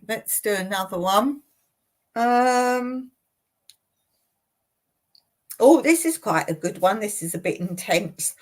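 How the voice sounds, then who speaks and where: Slow, chatty woman in her late fifties. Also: mid-range voice. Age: 50s. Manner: chatty, laughs often between remarks. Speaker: channel host. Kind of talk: casual live Q&A, reading viewer comments aloud. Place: home in rural Cyprus.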